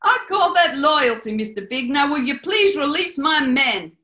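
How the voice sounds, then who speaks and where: Lady doing plucky radio bootlegger gal, really high crisp-edged voice, firm n earnest. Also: lively intonation, normal speed, sharp tone. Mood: earnest, defiant. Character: plucky, loyal female bootlegger, firm and defiant. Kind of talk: 1940s-style radio play acting.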